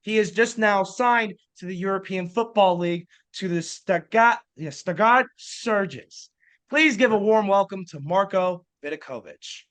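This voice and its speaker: High, smooth-voiced male host. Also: measured pace, fairly bright tone. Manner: eager, loose run-on questions. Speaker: podcast host. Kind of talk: casual interview hosting.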